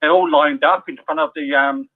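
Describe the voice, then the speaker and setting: A man calls in to a talk show and speaks haltingly, often starting his sentences over. His voice is a bit high for a man, and it comes through a thin phone line. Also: fairly even intonation. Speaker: caller. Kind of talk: casual call-in chat.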